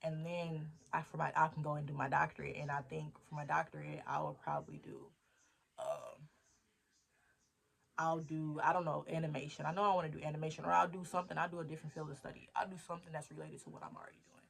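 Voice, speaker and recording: Gravelly-voiced young woman rambling in a vlog. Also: low-pitched, quick pace. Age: 20s. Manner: rambling, thinking aloud, self-motivating. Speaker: vlogger. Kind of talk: casual diary vlog monologue.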